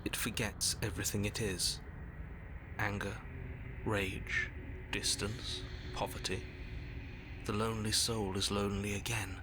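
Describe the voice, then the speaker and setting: A man playing a grave, cruel narrator in a horror game, speaking slowly and deliberately in an ominous manner. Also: lowish voice, little pitch movement, noisy background, natural voice. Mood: cold, grim. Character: grave, cruel male narrator pronouncing bleak doom.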